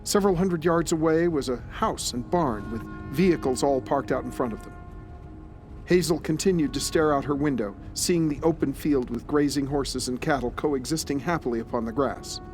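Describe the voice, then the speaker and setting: A man's voice: mid-range voice, moderate pace, darkish tone, soft background music. A man reading scripted narration for an audio drama, as its narrator.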